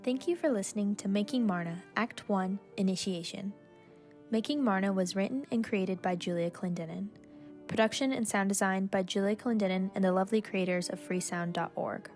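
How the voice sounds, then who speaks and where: A woman's voice: medium pitch, brisk, darkish tone. A woman announcer with a mid-range voice reads scripted credits for a radio drama. Music plays beneath her narration. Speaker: announcer reading closing credits.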